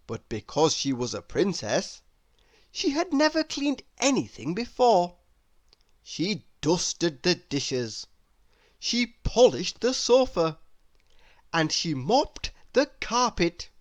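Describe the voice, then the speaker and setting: A woman reading a children's story aloud in an expressive, deliberate, theatrical way. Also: fairly low pitch, dry recording. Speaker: storybook reader.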